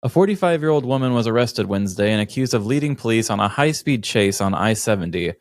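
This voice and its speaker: A man with a fairly low voice hosts a podcast, moving between reading a story aloud and casual banter. The sound is dry, with very little room in it. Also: expressive, fast talker, dry recording. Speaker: podcast host.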